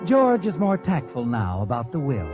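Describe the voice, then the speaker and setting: An actor playing a sardonic, knowing narrator in 1940s radio suspense, insinuating and theatrical. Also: male voice, medium pitch, huge pitch swings, moderate pace. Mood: knowing, suspenseful. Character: sardonic, knowing male narrator addressing the heroine. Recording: dull vintage radio recording. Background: dramatic music.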